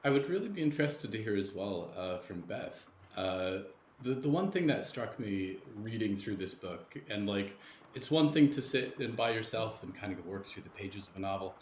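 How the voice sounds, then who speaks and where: Male podcast interviewer; deep voice, searching halting delivery, roomy recording with light noise. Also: animated, darkish tone. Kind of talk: conversational interviewing. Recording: roomy sound with faint noise.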